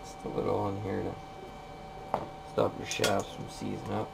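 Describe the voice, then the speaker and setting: Low, gravelly man talking through shop work. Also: completely flat delivery, a little slow. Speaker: machinist demonstrating a repair. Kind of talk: casual off-the-cuff shop commentary. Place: workshop, recorded while working on a machine. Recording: noisy workshop recording.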